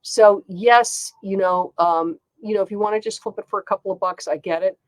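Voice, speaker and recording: A woman's voice: mid-range voice, fairly even intonation, normal speed, no room sound, poor audio. A woman with a congested voice hosting a casual chat, talking in a chatty way with frequent ums. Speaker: host.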